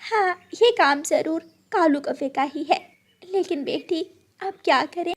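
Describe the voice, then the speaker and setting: A woman voicing a gentle, anxious mother bird in a children's cartoon, motherly and plaintive. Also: very high-pitched, unhurried, pitched above the performer's own voice. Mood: worried.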